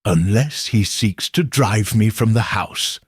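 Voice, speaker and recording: Man reading as a frightened titled gentleman. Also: fairly low pitch, animated, slow, husky, no room sound, clean studio sound, exaggerated voice. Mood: uneasy. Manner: tense, anxious. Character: frightened titled male homeowner, tense.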